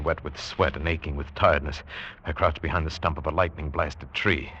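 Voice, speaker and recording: An actor playing a hunted, desperate castaway, narrating his ordeal with tense, deliberate intensity in a 1940s radio drama. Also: a bass voice, a bit bright, slight background noise. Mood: weary suspense. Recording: dull vintage 1940s radio recording. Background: dramatic music.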